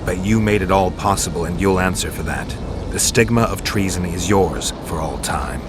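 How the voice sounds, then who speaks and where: A man's voice: low voice, normal speed, background noise, natural voice. A man playing a hard-bitten, unyielding monster hunter in a video game, speaking in a blunt, terse, sceptical way. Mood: accusing. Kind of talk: dramatic video game acting. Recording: music under the voice.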